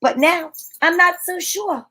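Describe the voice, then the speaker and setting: A woman playing a sharp-witted, wisecracking private detective in an old-time radio drama, talking in breezy slang. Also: very high voice, unhurried, exaggerated voice. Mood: wry, explaining.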